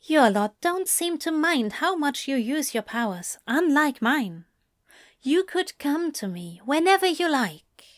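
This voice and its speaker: A woman voicing an anxious, earnest male angel with a hesitant, halting delivery in an audiobook-style story. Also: sits high, big pitch swings, pitched above the performer's own voice.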